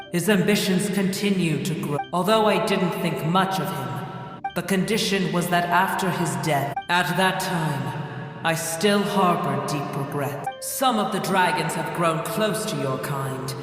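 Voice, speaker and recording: A man's voice: sits high, measured pace. A man voicing an ancient, proud dragon in a video game, speaking in a grave, formal, disdainful way. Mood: grave, regretful. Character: ancient proud male dragon, solemn and scornful. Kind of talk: video game voice acting, solemn monologue. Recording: cavernous echo, long reverb, faint music.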